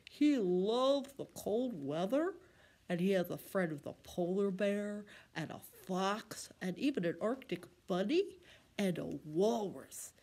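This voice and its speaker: A woman's voice: medium pitch, darkish tone. A woman casually introducing a picture book to children as a library storytime host.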